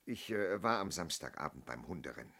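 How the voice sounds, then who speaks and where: An actor in a German radio play playing an indignant, anxious suspect with a formal, emphatic manner. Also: male voice, very deep voice, big pitch swings, brisk, vocal fry, bright, forceful tone, slightly rough, full character voice. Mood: hesitant.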